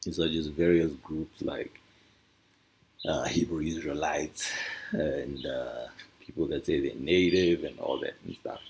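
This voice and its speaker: A man from Africa with a deep voice speaks informally as he explains his thinking. His delivery is halting and reflective, building each point through questions and imagined replies. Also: fairly even intonation, a little mellow. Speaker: speaker presenting a discussion.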